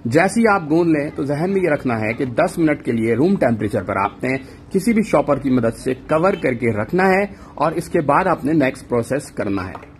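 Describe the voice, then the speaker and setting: A man's voice: a little high, expressive, moderate pace, a little hiss or hum. Man narrating a street-food recipe. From Pakistan. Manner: instructional, step-by-step, mixes English words. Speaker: cooking channel host.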